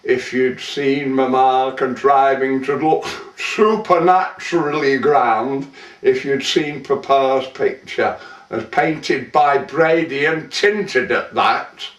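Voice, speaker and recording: Man reciting as a wistful young heiress, slow, echoey. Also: mid-range voice, fairly bright tone. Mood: proud, playful. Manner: theatrical, measured, with lingering pauses. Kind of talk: dramatic poetry recitation. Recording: roomy echo, distant microphone.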